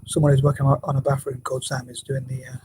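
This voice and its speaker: A man vlogging casually at home, with a quiet voice, talking hesitantly with many restarts and fillers, often sinking to a near whisper. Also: medium pitch, completely flat delivery, fast, slight background noise. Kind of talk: rambling casual vlog monologue. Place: home room, building work next door.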